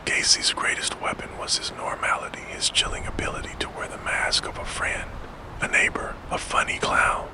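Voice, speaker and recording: A man narrating a true-crime story for sleep entirely in a soft, solemn whisper, with measured pacing. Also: very high voice, rough recording. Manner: fully whispered, soft and hushed.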